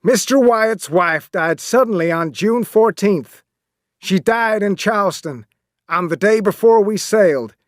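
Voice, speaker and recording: A man voicing a stern ship captain who shouts orders during a shipwreck, then explains things plainly. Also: high voice, unhurried, no room sound, studio quality, voice pushed up. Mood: explaining.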